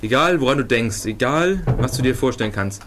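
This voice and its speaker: A man with an average-pitched voice talks casually as a podcast co-host. His manner is jokey and given to chuckles. There is faint noise behind him. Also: lively intonation, fairly quick. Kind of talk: casual joking chat. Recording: some background noise.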